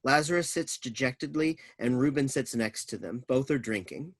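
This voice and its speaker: A man's voice: mid-range voice, moderate pace. A man reading out stage directions as scripted narration at a play reading.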